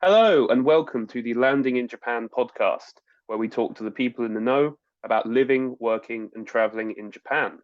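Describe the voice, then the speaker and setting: A man's voice: medium pitch, brisk, few pauses, no echo, dull audio. A man hosting a travel podcast, friendly and chatty, summing up the guest's answers. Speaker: podcast host. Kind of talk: podcast interview.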